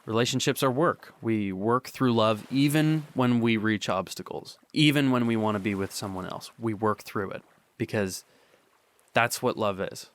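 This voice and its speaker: A man acts out a young boyfriend in a radio drama, torn by guilt. His voice sits a little low, and he speaks earnestly and haltingly, repeating himself as he insists on his loyalty. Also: natural voice. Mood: pleading, earnest. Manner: halting, repetitive, insistent confession. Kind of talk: dramatic audio monologue.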